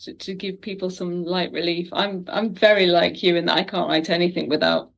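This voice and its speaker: A woman's voice: fairly low pitch, level tone, a little fast, creaky. A woman talking casually as a guest writer in an interview.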